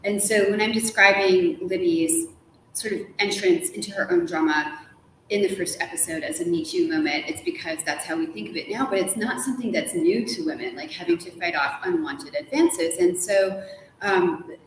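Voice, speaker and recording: A woman's voice: fairly low pitch, lively intonation, moderate pace. A woman being interviewed as an author, talking casually and with animation, scattering "like" through her informal answers. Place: large ornate hotel room, indoors. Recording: echoey room with faint background noise.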